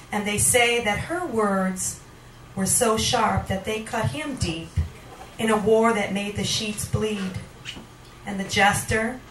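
A woman reading poetry live at a small venue, with a dramatic, deliberate delivery, recorded with a handheld microphone in a roomy space. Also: on the high side, bright, forceful tone, far from the mic, slight background noise. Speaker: poet reading at a poetry night. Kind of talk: live spoken-word poetry reading with casual asides. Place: small wood-panelled indoor bar venue. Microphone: handheld stage microphone, picked up from a camera.

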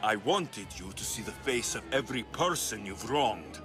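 A self-assured mercenary hero, acted by a man. He is firm and cool yet very expressive in pitch, a game warrior over clear background music. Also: normal speed, close to an everyday voice. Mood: defiant. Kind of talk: dramatic video game acting.

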